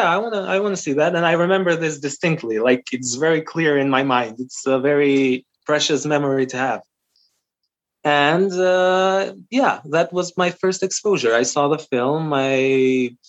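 A man in his twenties from North Macedonia whose first language is Albanian, telling a story as a podcast guest with many fillers and restarts. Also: fairly high pitch. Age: 20s. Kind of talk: casual interview. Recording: muffled lo-fi audio.

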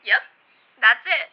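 Actress as stubborn playful girl, high animated voice, deadpan teasing, lo-fi audio drama. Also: exaggerated voice. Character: playful, stubborn girl bickering over made-up card game. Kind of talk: naturalistic audio drama acting. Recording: muffled lo-fi sound.

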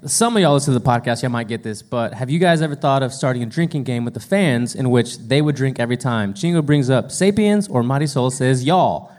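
A man with an average-pitched voice works as a podcast co-host. He speaks casually, reading out and putting questions to the people with him. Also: lively intonation, fairly quick, hardly any pauses, darkish tone.

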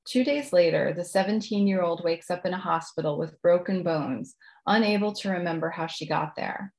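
A woman with a slightly low voice speaks as a guest author. Her manner is polite and modest. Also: moderate pace, darkish tone. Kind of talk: casual interview chat, then reading own writing aloud.

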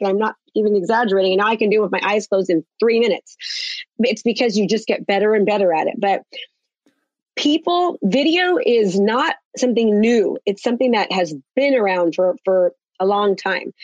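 A woman with a raspy voice, a real estate coach talking with energy and emphasis in a podcast interview. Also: mid-range voice, dry recording, muffled recording. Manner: energetic, emphatic, many restarts.

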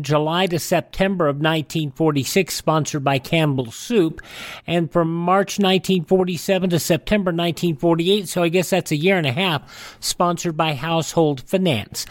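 A man's voice: fairly high pitch, no room sound, studio quality. An American man hosting a radio show, presenting and reading news items. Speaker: radio host.

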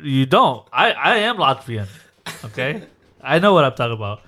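A man's voice: mid-range voice, very animated, moderate pace, pushed and bright. A man hosting a podcast in casual chat, joking and laughing often. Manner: jokey, laughs a lot. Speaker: podcast host.

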